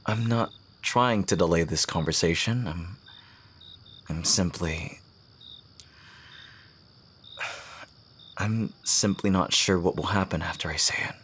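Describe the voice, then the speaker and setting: A man playing a devoted fantasy rival confessing love in a romantic audio roleplay, speaking in an earnest, courtly, poetic way with soft hesitant pauses and a husky, breathy voice. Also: low-pitched, slight background noise. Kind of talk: romantic ASMR audio roleplay, intimate acting.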